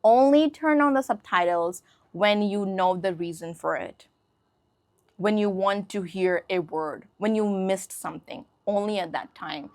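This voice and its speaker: A woman whose first language is Hindi talks through an English lesson in an easy, conversational way, often stopping to check that her listeners follow. Her voice sits at a middle pitch and is recorded close and dry, with no room sound. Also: a little slow, darkish tone, no echo. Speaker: English teacher. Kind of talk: casual spoken lesson to camera.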